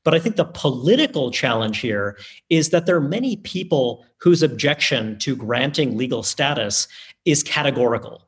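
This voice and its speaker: A man's voice: medium pitch, lively intonation, normal speed. A man speaking as a guest author, explaining his points in an analytical, orderly way. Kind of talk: conversational discussion.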